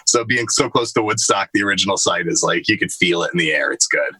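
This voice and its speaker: Bright-voiced man on a video call. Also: low-pitched, expressive, fast, slightly rough, dry recording, rough recording. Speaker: guest musician. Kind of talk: casual video-call interview. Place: cab of a moving truck.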